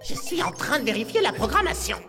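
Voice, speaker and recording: Brainy, wildly expressive cartoon amoeba inventor. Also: female voice, high voice, quick pace. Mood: explaining. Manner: didactic, fussy. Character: brainy young amoeba inventor, earnest explainer and sidekick. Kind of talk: animated cartoon voice acting. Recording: music behind the voice.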